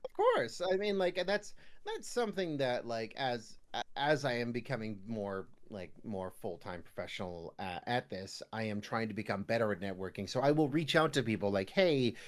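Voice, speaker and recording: A man's voice: medium pitch, big pitch swings, moderate pace. A man speaking as a guest voice actor in a casual podcast interview, acting out imagined remarks as he explains.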